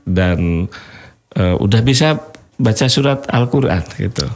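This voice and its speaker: A man hosts a relaxed podcast interview in a very deep voice. He speaks in a friendly way, often trails off into fillers while hunting for words, and laughs easily. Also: measured pace. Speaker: podcast host, doctor.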